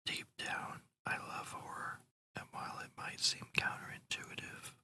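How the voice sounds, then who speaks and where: A host whispering a guided ASMR meditation in a breathy, slow, soothing and deliberate way.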